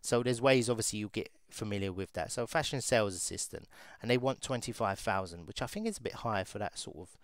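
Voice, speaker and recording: Low-voiced man narrating a screen demo. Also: a little fast, no room sound. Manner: casual, thinking aloud with fillers while clicking. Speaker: recruiter. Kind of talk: screen-share software walkthrough.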